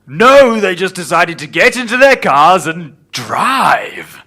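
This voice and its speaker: Man as a high-voiced flustered minister. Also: very animated, slow, sharp tone, heavily acted. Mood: earnest, flustered. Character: flustered male government minister interviewed on the news. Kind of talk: improvised comic radio news interview.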